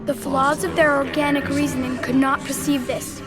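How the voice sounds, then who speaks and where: A woman playing an ancient, calm and superior machine intelligence in a video game, speaking in a measured, formal way. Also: sits high, natural voice. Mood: cold, certain. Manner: measured, formal, detached, didactic. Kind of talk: video game cutscene acting. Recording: loud music behind the dialogue. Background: loud game score.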